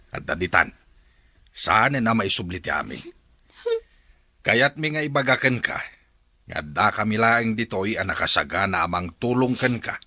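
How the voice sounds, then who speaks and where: A man with a Filipino accent playing a troubled but forgiving father in a radio drama, with a husky voice. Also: sits low, pushed and bright, no room sound, exaggerated voice.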